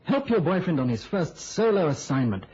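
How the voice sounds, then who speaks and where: An actor playing an eager young newspaper reporter in an old-time radio drama, persuasive and coaxing. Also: male voice, a little high, very animated, normal speed, darkish tone, breathy edge, dull audio, heavily acted. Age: young adult.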